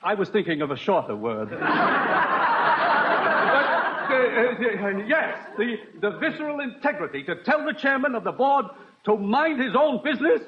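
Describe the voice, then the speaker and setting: High-pitched pompous American board chairman, sputtering and flustered, swooping intonation, 1950s radio comedy, muffled noisy recording. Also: sing-song, unhurried, full character voice. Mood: grudging, flustered. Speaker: actor.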